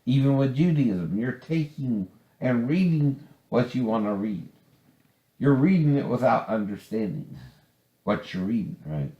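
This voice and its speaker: A man's voice: medium pitch, lively intonation, unhurried, dull audio. A man giving informal, rambling religious commentary, often checking for agreement as he goes. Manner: rambling, often seeks agreement with tag questions. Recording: echoey room.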